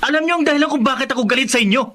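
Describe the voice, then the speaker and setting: A man's voice: extremely high pitch, very fast, sharp tone, a little hiss or hum. A Filipino man playing a bitter, defiant young grown son in a radio drama. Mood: bitter, accusing.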